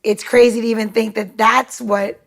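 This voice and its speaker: A woman joins the chat as co-host, blunt and ready to swear. Her voice sits fairly high with a gravelly, creaky edge. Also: little pitch movement, normal speed. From New York. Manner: blunt, swears, laughs while talking. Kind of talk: casual chat.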